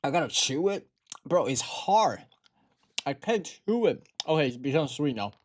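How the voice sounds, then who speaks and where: A man's voice: a little high, sing-song, measured pace. A tired young man from Taiwan, chatting to viewers on a livestream in slangy, swearing speech. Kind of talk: casual livestream chat.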